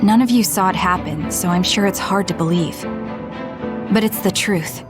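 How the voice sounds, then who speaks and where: A woman voicing a calm, blunt young soldier heroine in an anime-style game, speaking in a measured, matter-of-fact way. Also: medium pitch, music in the background, close to an everyday voice. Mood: reflective. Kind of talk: anime game cutscene acting.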